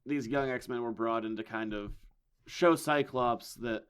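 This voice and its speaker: A man hosting a casual comics podcast, hoarse from losing his voice, with dry wisecracks. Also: mid-range voice, unhurried. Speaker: podcast host. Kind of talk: casual chat.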